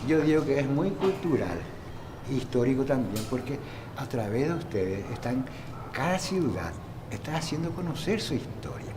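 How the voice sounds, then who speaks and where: A man's voice: medium pitch, very animated, moderate pace, noisy background. An older man with a Paraguayan Spanish accent, chatting and reminiscing as an interviewee, laughing easily and trailing off mid-sentence. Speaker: interviewee, local resident. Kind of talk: casual reminiscing chat.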